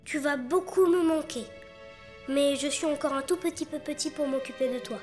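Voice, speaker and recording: Cartoon: small proud cosmic boy hero, very high voice, woman actor, loud music bed. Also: close to an everyday voice. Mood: chatty. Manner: boastful then sheepish, quick exclamations. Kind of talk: cartoon dubbing.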